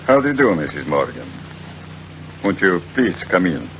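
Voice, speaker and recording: An actor playing a smug, shabby-genteel blackmailer of about fifty in old radio drama, speaking with formal, unhurried mock courtesy. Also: male voice, low voice, big pitch swings. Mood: oily, polite. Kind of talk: 1940s radio acting. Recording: dull, noisy old radio recording.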